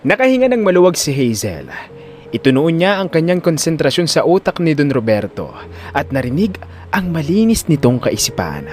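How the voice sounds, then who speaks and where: A man's voice: a little high, very animated, moderate pace, darkish tone. A man narrating a dramatic scripted story. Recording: music under the voice.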